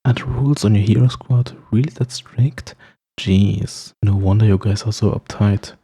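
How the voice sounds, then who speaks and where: A low, husky supervillain played by a man in an ASMR roleplay. He is teasing and sarcastic, bantering with his hero rival in a dark, relaxed tone, snarky but principled. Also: moderate pace. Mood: exasperated, reassuring. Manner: sarcastic, playful, bantering, with chuckles. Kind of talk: ASMR roleplay monologue to the listener.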